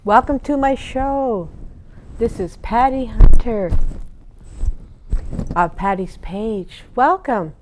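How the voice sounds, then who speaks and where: A woman's voice: on the high side, takes it slowly, pauses often, a little hiss or hum, rough recording. An older woman hosting a community TV interview show in a small studio, chatting through a lapel mic with small reactions. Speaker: talk show host. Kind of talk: casual interview chat.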